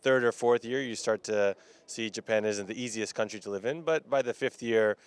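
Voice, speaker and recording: American male interviewee, clean resonant voice on the low side, conversational and hesitant. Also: clean tone. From Florida, United States. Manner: hesitant, halting phrasing. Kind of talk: casual interview answers.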